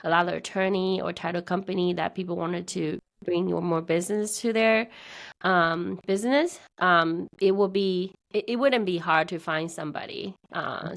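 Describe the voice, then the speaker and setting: Soft-voiced woman, halting and lowish. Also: creaky. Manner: halting, with many fillers and restarts. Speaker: interview guest, meetup organizer. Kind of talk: casual interview conversation.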